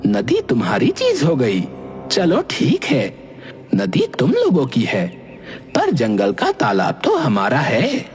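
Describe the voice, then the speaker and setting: A man's voice: medium pitch, huge pitch swings, slow, darkish tone. A man voicing an indignant cartoon ghost who lectures assertively in defence of forest animals. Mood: reproachful. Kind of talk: Hindi cartoon voice acting. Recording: long hall reverb, faint music behind.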